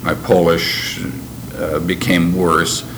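An older man who grew up in Canada, recalling his past in an interview in a measured, reflective way with frequent pauses. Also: deep voice, flat delivery. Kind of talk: oral history interview. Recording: noisy background ambience.

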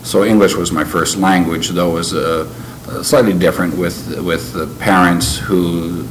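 An older man who grew up in Canada, recalling his past in an interview in a measured, reflective way with frequent pauses. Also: deep voice, fairly even intonation. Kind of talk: oral history interview. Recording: noisy background ambience.

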